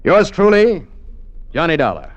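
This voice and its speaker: A man's voice: on the high side, wildly swooping pitch, a little slow. An actor playing a wry male investigator who narrates his own case in 1950s radio drama. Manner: wry, dryly joking. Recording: dull lo-fi old radio recording with music.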